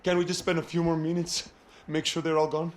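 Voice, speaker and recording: Nervous young Brazilian man, acted, higher-pitched. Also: level tone, fairly quick, slight background noise, close to an everyday voice. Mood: nervous, hesitant. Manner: hesitant, anxious. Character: nervous, timid young Brazilian office computer technician. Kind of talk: video game cutscene acting.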